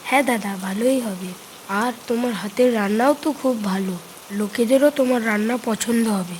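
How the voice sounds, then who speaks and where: A woman voicing a supportive, gentle younger brother in a cartoon moral story. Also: on the high side, fairly quick, slight background noise, natural voice. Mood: encouraging.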